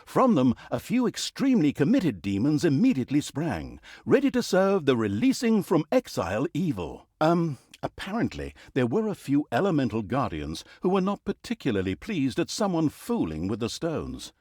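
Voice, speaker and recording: An actor playing a wry, theatrical and easily exasperated narrator of a fantasy video game, telling the story with mock grandeur. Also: male voice, fairly high pitch, huge pitch swings, moderate pace, few pauses, darkish tone, dry recording, studio quality. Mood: sardonic aside. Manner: sardonic, mock-grandiose, breaking the fourth wall. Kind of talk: scripted comic fantasy storytelling.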